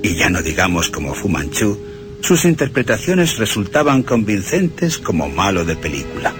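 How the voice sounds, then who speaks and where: Deep-voiced man narrating with wide intonation. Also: very animated, moderate pace, over music. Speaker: radio presenter. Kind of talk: scripted film-history narration with dramatic readings.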